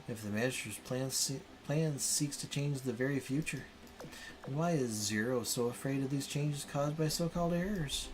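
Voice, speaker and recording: Clinical machine mind read by a man, measured and formal, brooding on its existence; game visual novel, music underneath. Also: medium pitch, close to an everyday voice. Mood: brooding, doubting. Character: female machine intelligence, clinical, doubting its own existence.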